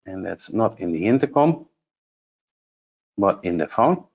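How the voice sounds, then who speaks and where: A man giving an unscripted software demonstration as a trainer, explaining step by step and thinking aloud in a halting way. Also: low voice, very animated, breathy edge, dull audio. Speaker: trainer presenting a product course.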